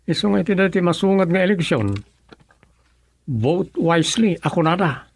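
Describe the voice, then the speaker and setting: A man acting an opinionated townsman in a radio comedy drama, with an Ilocano accent and a gravelly, animated, colloquial delivery. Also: high-pitched, normal speed, heavily acted.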